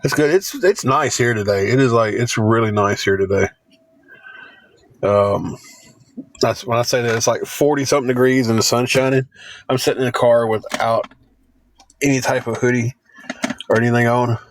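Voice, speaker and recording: A man with a Southern accent and a raspy voice, chatting casually on a live stream from his phone, rambling and repeating himself. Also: mid-range voice, normal speed, long pauses, slight background noise. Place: parked car, then walking outdoors.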